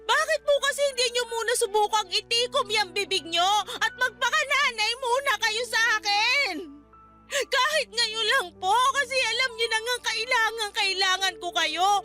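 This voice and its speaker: A woman's voice: very high-pitched, moderate pace, over music. A woman playing a distraught young wife and daughter in a Filipino radio drama, respectful but wounded, pleading tearfully. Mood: wounded, exasperated.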